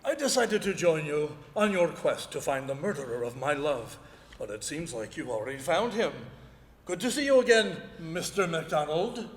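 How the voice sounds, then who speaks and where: A man playing a bold, boastful mountain man with a gravelly voice and hearty delivery in a live radio melodrama. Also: fairly high pitch, expressive, moderate pace, natural voice. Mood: confident, accusing. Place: live stage in a ballroom. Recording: reverberant live hall.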